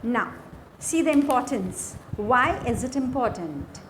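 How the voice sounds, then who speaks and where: Female lecturer, Indian accent, fairly high voice, very unhurried lesson narration in short phrases. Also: lively intonation, a bit bright. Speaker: English lecturer. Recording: faint background noise.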